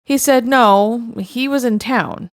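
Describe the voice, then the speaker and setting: A woman's voice: fairly high pitch, slow pace, no echo, clean studio sound. A woman narrating first-person stories in a wry, matter-of-fact way. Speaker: narrator reading online stories.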